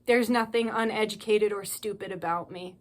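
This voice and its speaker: A woman's voice: mid-range voice, fairly even intonation, normal speed. A young woman delivering a heated, profanity-laced monologue straight to her viewers, ranting and pleading. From United States. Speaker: spiritual channel host. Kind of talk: impassioned monologue to camera.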